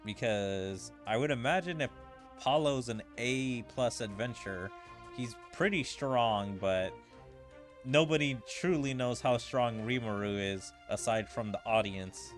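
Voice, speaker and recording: A man's voice: medium pitch, unhurried. A man giving casual, rambling gameplay commentary as a streamer, speculating as he goes with plenty of filler words. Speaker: video game streamer. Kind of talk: casual gameplay commentary with dialogue read aloud. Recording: game music behind the voice. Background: video game music.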